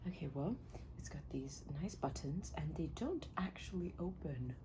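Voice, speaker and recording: Casting: woman, low register, soft breathy ASMR delivery drifting into whisper, polite and unhurried personal stylist character; noticeably noisy recording. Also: animated. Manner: gentle, polite, attentive, unhurried. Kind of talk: soft-spoken ASMR roleplay.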